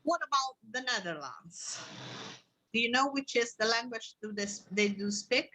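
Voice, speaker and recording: A woman's voice: on the high side, expressive, moderate pace, bright, forceful tone, rough recording. A woman teacher leading an interactive online group language lesson in an encouraging manner.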